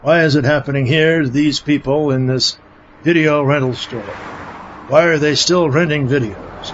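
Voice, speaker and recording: A man plays an earnest, dim field reporter in a comedy newscast. His voice sits a bit high. The sound is slightly dull with light background noise. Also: fairly even intonation, normal speed, natural voice. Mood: self-serious. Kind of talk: parody news reporting.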